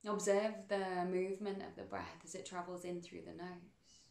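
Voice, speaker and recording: A woman guiding a meditation in a soothing, unhurried manner, with measured phrases and pauses between them. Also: on the low side, little pitch movement, vocal fry, a little mellow, roomy sound. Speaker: meditation guide. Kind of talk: guided meditation narration.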